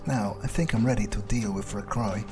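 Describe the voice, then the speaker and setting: A man roleplaying his character over a game, speaking in a deliberate, sardonic way. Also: on the low side, music in the background. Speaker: roleplaying gamer.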